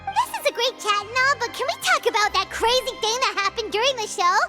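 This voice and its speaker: Tiny high-pitched game sprite, bubbly, bouncy, third-person talker. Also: female voice, lively intonation, fast talker, over music. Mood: excited. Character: tiny floating female companion, chatty and excitable. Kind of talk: video game voice acting.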